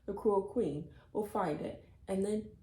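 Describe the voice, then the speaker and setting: A playful, kindly storybook woman, voiced by a woman reader. She confides her tall tales with dramatic emphasis. The tone is mellow and the room echoes. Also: mid-range voice, measured pace, lightly acted. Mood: instructive, ominous.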